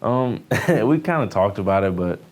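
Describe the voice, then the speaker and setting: A man's voice: on the low side, expressive, normal speed, a little mellow. A man in his early twenties with an American accent, a young athlete giving casual, somewhat hesitant interview answers full of fillers. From Houston area, United States. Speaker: baseball pitcher, newly drafted player.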